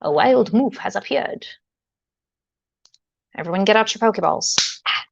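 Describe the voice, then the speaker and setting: A woman streamer with a creaky, mid-pitched voice, chatting casually as she tinkers. She rambles hesitantly and drops in playful asides. The sound is dry and somewhat dull. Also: animated, unhurried, dry recording. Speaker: livestreamer, host. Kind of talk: casual livestream chat while tinkering with gear.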